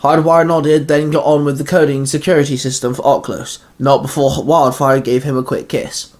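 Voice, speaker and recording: A man's voice: a little high, little pitch movement, moderate pace, a little mellow. A man reading scripted fan fiction narration as the narrator of a story podcast.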